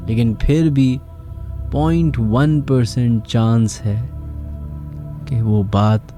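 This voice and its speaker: A man's voice: medium pitch, fairly even intonation, slow, mellow, soft background music. A man with a Pakistani accent hosting a radio show, telling stories conversationally and musing aloud with rhetorical questions. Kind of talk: conversational late-night radio storytelling.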